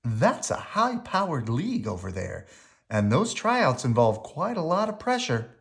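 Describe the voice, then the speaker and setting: A storybook reader voicing a sensible, reassuring mother bear who cautions gently. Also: mid-range voice, sing-song, a little slow, gravelly, pitched above the performer's own voice. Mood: cautioning.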